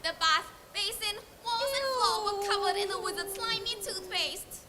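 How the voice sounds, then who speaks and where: A female storyteller with an extremely high voice recites a scripted tale theatrically. It is a live stage recording with loud background noise. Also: normal speed. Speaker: storyteller in a school team. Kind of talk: scripted dramatic story narration.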